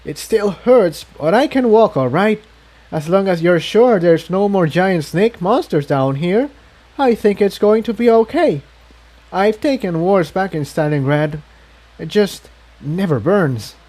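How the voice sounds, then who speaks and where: A tough, stoic unicorn mare from an adventure storybook, put on by a male reader. She speaks plainly, in a raised pitch with a mellow edge. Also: expressive, a little slow. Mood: stoic, wry. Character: tough, stoic injured female unicorn pony.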